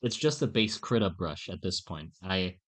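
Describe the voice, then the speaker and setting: A man chatting casually on a live stream while he draws, in a halting, self-mocking way. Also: low voice, lively intonation, a bit bright.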